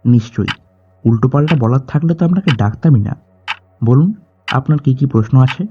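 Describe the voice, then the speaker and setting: An actor playing a guarded middle-aged doctor in an audio drama, speaking in a clipped, formal way. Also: male voice, medium pitch, a little fast, lightly acted. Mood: brisk. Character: curt, guarded doctor in his fifties, grieving a friend. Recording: slightly dull voice track under music. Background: background music.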